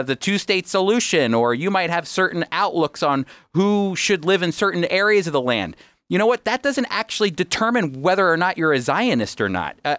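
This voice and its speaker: A man's voice: on the high side, fairly bright tone, no echo. A man with an American accent teaching on a radio program in an emphatic, explanatory way. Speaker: radio host and Bible teacher.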